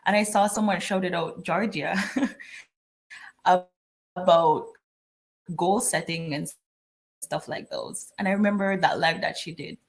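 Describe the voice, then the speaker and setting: A woman's voice: fairly low pitch, takes it slowly, long pauses. Chatty woman from Jamaica. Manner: chatty, laughs often. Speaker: interview guest. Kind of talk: casual video call interview.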